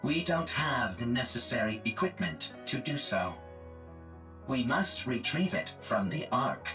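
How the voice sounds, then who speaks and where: An actor playing a calm, emotionless male combat support robot who speaks in flat, precise, formal phrases. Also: mid-range voice, unhurried, music in the background. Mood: measured.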